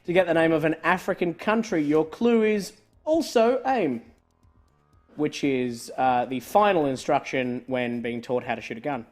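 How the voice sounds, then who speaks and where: A man's voice: on the high side, normal speed, faint music behind. A man born in Scotland hosting a live online quiz, joking with his co-host and laughing often. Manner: jokey, laughing often, trailing off quietly.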